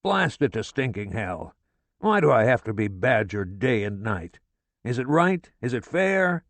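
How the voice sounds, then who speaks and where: A man voicing a furious, foul-mouthed middle-aged father who rants and shouts curses at his family. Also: mid-range voice, very animated, measured pace, dry recording. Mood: exasperated ranting.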